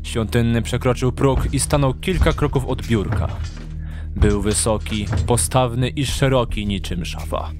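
A man narrating a scripted audio drama with measured storytelling delivery. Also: medium pitch, music in the background.

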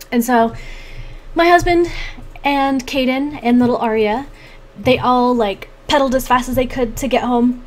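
Chatty, higher-voiced woman vlogging at home. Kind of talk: casual chat to camera. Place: small home room, indoors.

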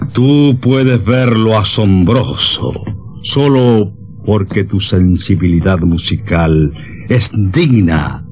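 A man's voice: sits low, darkish tone, slightly rough, full character voice. A man playing an intense, moody, eccentric musical maestro in a radio horror drama, theatrical and emphatic.

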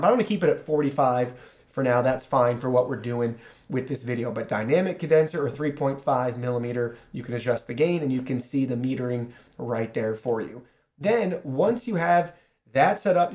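A man in his thirties with a slightly high voice talks viewers through gear and software. His delivery is casual, upbeat and explanatory, like a friendly product overview, picked up on a studio shotgun mic. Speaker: product reviewer and host. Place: small indoor studio. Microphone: shotgun studio microphone through an audio mixer.

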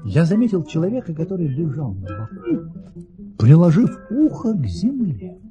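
Casting: jovial boastful baron, high voice, swooping intonation, grand storybook audio-play delivery over music. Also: male voice, huge pitch swings, moderate pace, dull audio. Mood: storytelling. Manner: grand, exclamatory, courteous. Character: jovial boastful baron recounting his tall-tale travels.

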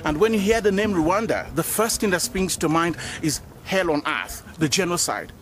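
A man's voice: sits high, animated, normal speed, a bit bright, a little hiss or hum. Earnest middle-aged man presenting a documentary. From Sierra Leone. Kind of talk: documentary reporting and interviewing. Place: outdoors at a football ground.

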